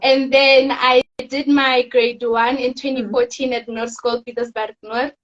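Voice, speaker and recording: A teenage girl from South Africa, a guest in a casual interview, telling her story softly and rambling with fillers. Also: high voice, flat delivery, measured pace, muffled recording. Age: teens. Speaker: guest, teen pageant winner.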